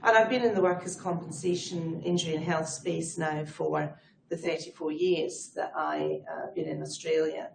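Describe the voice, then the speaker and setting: A middle-aged woman speaks with a Scottish accent and a low voice with a gravelly edge. She answers in a chatty, fluent way and pokes fun at herself. Her voice rings around an echoey room, with faint background noise. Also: steady tone, normal speed. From Scotland. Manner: self-deprecating, chatty and fluent. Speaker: guest, head of people advisory. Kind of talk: conversational interview answers.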